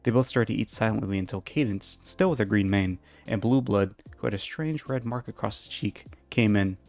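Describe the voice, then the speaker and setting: A man's voice: lowish voice, moderate pace, a little mellow, soft background music. A man reading a story aloud in an informal, amateur storytelling style. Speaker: fan fiction reader. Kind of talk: storybook narration, casual intro.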